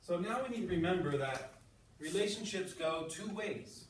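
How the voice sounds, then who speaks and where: A man's voice: high-pitched, lively intonation, normal speed. A man teaching sixth-grade English, reading aloud and explaining as he goes, often checking in with short questions. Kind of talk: classroom read-aloud with running commentary and questions to pupils. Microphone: at some distance from the speaker. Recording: roomy, distant mic with background noise.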